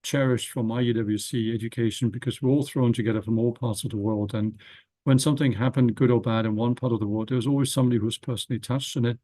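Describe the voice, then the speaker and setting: A man speaking on a panel in a thoughtful, halting way, often restarting his sentences. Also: medium pitch, steady tone, warm tone, no room sound. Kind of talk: reflective panel talk.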